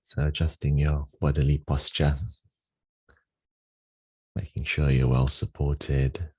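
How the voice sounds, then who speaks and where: A man with a soft, husky voice guides a meditation, giving gentle instructions with long pauses. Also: very deep voice, level tone.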